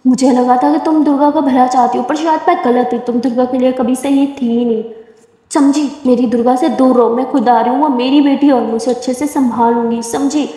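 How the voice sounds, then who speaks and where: A woman's voice: high-pitched, little pitch movement, quick pace, dark tone, voice pushed up, lightly acted. A woman doing a stern, scolding mother. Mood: angry. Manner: scolding, firm. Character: stern protective mother, angry and possessive. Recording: echoey room with long reverb.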